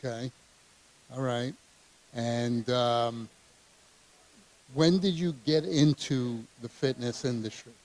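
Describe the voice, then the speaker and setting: A man hosting an interview in a gym, asking questions with many fillers and quick agreements. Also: mid-range voice, steady tone, a little mellow, rough recording. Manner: hesitant, uses many fillers and short agreements. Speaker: host, interviewer. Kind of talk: casual interview.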